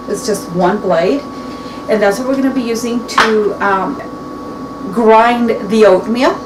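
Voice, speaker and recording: Chatty woman in her forties, echoey kitchen. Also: fairly high pitch. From Mexican heritage. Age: 40s. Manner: chatty, rambling with frequent restarts and fillers. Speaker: beauty vlogger. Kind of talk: casual chat to camera. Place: home kitchen, indoors. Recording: echoey room, distant mic, clear background noise.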